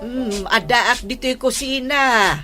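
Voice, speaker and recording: A woman's voice: on the high side, slow, loud music behind the voice. A woman playing an affectionate, ailing elderly mother with a Filipino accent in a radio drama. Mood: fond, cheerful. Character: elderly ailing mother, affectionate, coaxing her son to visit.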